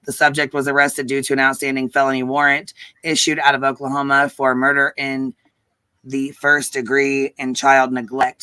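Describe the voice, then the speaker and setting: A man's voice: medium pitch, sharp tone, clear, resonant tone, dry recording. A man hosting a true crime livestream, casually recapping news in an informal, questioning way.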